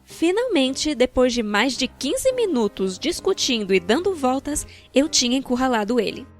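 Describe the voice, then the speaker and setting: A Brazilian woman narrating a scripted storytime video in an expressive, wry, colloquial way. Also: high voice, moderate pace. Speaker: narrator of a fan-dubbed animated storytime video. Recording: background music.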